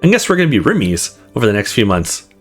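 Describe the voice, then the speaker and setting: Big, friendly female weightlifter character played by a streamer, very varied pitch, light music underneath. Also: very animated, fast, slightly rough. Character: intimidating, muscular, friendly young woman weightlifter.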